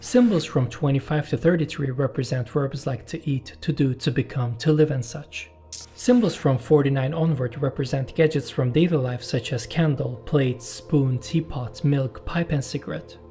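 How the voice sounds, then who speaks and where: Man narrating, mellow voice. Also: mid-range voice, faint music behind. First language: Turkish. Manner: measured, explanatory, with personal asides. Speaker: channel host and narrator. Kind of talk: scripted documentary narration.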